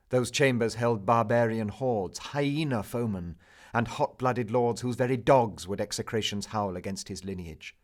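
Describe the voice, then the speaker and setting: A man narrating a poem in a theatrical, measured manner, swelling for emphasis and hushing at line endings. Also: lowish voice. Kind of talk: dramatic narrative poetry recital.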